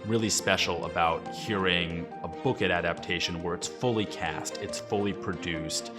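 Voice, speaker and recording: A man's voice: sits low, normal speed, over music. A man speaking as audio production staff, explaining a process in interview style.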